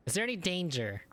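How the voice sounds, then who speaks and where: A woman's voice: lowish voice, fairly bright tone. A woman giving casual, informal, teasing commentary while reacting to a video game. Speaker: gamer reacting on a video channel. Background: game music.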